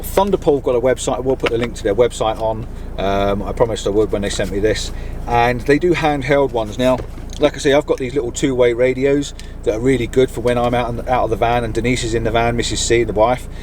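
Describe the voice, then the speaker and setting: A middle-aged British man giving a casual, enthusiastic product review to camera in a chatty, informal way. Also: mid-range voice. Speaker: vlogger reviewing gear. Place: inside a campervan. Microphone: camera on a mount in the van. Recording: background noise inside a vehicle.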